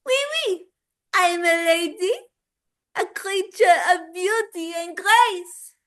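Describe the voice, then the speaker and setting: A woman's voice: very high voice, slow pace, fairly bright tone, pitched above the performer's own voice, exaggerated voice. A woman voicing a flirty, bubbly, elegant French seductress with a theatrical manner, a French accent and nasal vowels. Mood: flirty, grand. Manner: theatrical, coquettish.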